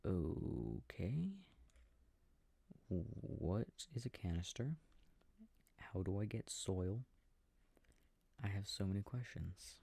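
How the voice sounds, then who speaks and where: A man speaking softly and breathily, often near a whisper, quietly musing to himself in an ASMR sleep-aid style while playing a video game. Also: low-pitched, very animated, takes it slowly, creaky, pauses often, breathy edge, no echo. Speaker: audio roleplay performer. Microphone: close microphone. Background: game controller clicking.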